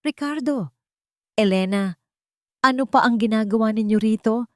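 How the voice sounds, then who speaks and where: Woman as a commanding rich matriarch, raised pitch. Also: normal speed, dry recording, studio quality, natural voice. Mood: brisk, commanding. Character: commanding, polished rich mother-in-law.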